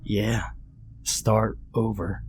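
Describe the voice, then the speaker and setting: A man voicing a mocking ancient god who is part devil, with a deep, flat voice. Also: drawn-out words, slight background noise. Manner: turns maniacal and wicked. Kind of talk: storytelling with acted dialogue.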